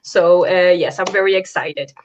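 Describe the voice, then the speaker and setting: A woman's voice: lowish voice, steady tone, moderate pace, highs cut off, crowd noise. Chatty woman hosting a live video call. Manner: chatty, hesitant with many fillers. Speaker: host. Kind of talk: casual live video interview. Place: home, early morning.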